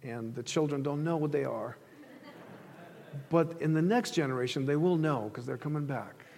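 A male pastor from Ukraine with a rather high voice delivers a sermon in a conversational way, explaining through stories and illustrations. His words carry the long reverb of a hall. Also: moderate pace, vocal fry, darkish tone, a little hiss or hum. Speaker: pastor preaching to a congregation. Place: large reverberant room, preaching to an audience.

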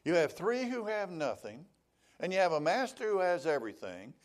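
A man's voice: fairly high pitch, very animated, normal speed. A male pastor preaching a sermon, telling stories and voicing the people he quotes.

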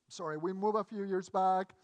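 A male preacher with a Mexican accent speaks in a reverberant hall. A native Spanish speaker, he delivers his sermon deliberately and emphatically. He returns to key phrases to press them home. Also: high-pitched. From Mexico City, Mexico. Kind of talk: sermon with scripture reading and personal anecdotes.

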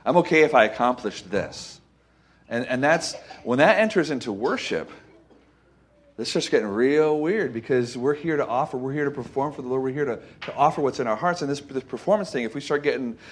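Man preaching in a casual, halting style. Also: medium pitch, lively intonation, husky. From Costa Rica. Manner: folksy, halting with repeated phrases, asks the listeners for agreement. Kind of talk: informal conversational sermon.

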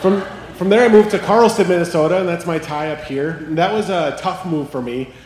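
A man with a Canadian accent, a ministry coach, telling his story during a sermon in a relaxed way. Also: sits high, fairly quick, a little hiss or hum. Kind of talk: co-teaching a sermon. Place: church sanctuary. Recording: echoey hall, faint ambience.

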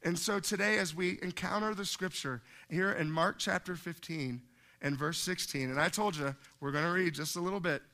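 Male preacher, bright and higher-pitched, slightly crackly and hoarse, delivering a conversational, emphatic sermon with rhetorical questions. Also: moderate pace. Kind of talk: sermon to a church congregation.

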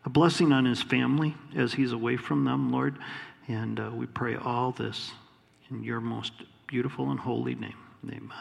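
A male pastor with a gravelly, creaky voice welcomes a guest and prays over him, pausing often with fillers. His voice rings out in a reverberant church sanctuary with a long echo. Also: mid-range voice, steady tone. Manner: warm halting delivery with many fillers.

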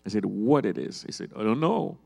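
A man with a Haitian accent, speaking English as a second language, giving an informal church testimony as a guest pastor in a halting, hesitant way. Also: fairly low pitch, expressive, gravelly, warm tone, husky. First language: Haitian Creole or French. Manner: halting, hesitant, with repeated restarts.

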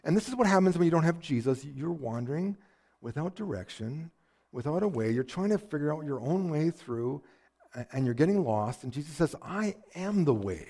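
A man preaching a sermon, telling stories with lots of energy, often repeating words as he starts a sentence, and dropping to a hush to drive a point home. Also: a little high, normal speed. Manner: animated storytelling, swings from loud to hushed. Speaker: pastor.

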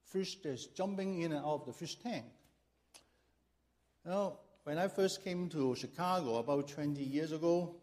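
Pastor from Hong Kong, fairly high voice. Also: male voice, a little mellow. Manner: storytelling with humor, halting with fillers. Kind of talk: sermon with scripture reading and personal story. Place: church.

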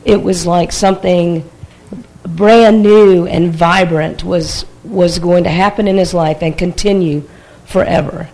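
A church discussion group member sharing a reflection in a halting, thoughtful way, often restarting sentences. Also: medium pitch, fairly even intonation, darkish tone, low-quality recording.

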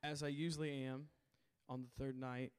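A hoarse man preaching to a camp crowd in a large hall, calling for responses from the audience. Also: medium pitch, flat delivery, moderate pace. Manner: call-and-response, coaxing the crowd. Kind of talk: sermon to a youth camp crowd. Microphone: handheld stage mic.

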